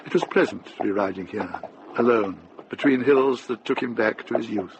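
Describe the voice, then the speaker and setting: A man's voice: on the low side, moderate pace. A man reading scripted literary narration as the narrator of a radio drama. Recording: dull recording with clear noise.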